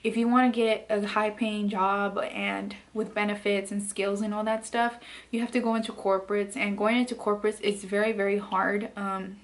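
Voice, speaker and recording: A woman in her late twenties speaks straight to the camera from her bedroom, in a soft, hesitant vlog voice full of fillers. Her pitch sits mid-range and barely moves. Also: little pitch movement, moderate pace. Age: late 20s. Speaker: beauty vlogger. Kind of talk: candid personal vlog. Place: bedroom, indoors.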